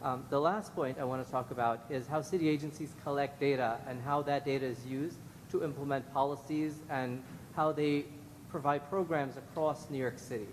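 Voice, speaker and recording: An earnest man delivers formal public testimony at a medium pitch. His voice rings in a large echoey hall, with a rumbling noise in the room. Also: steady tone, normal speed. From Guyanese background.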